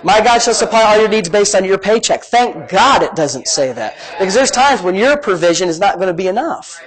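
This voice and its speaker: Male preacher, high-pitched big voice, folksy and emphatic teaching delivery, repetitive for emphasis. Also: lively intonation, brisk, poor audio. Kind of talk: sermon, conversational teaching. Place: live-streamed church service, amplified.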